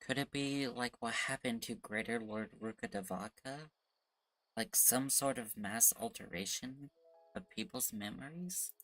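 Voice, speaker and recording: A woman's voice: extremely low pitch, measured pace, a bit bright, rough recording. A woman streamer giving casual live commentary while playing a game. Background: game music.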